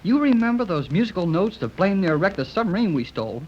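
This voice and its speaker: A man's voice: high-pitched, very animated, quick pace, breathy edge, heavily acted. An actor playing Jerry, an eager, folksy young man, in a 1930s radio serial. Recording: muffled old radio recording, faint hiss.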